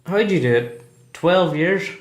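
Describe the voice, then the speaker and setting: A man's voice: a little high, animated, unhurried. An actor playing an anxious, naive new male prisoner in a radio play. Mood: anxious, curious. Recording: echoey, distant room.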